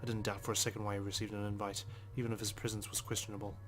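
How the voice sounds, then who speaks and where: A man's voice: on the low side, little pitch movement, very fast, fairly bright tone, faint music behind. A man hosting a game playthrough, reading visual novel narration aloud in a brooding, sweary way with casual asides. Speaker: let's play host.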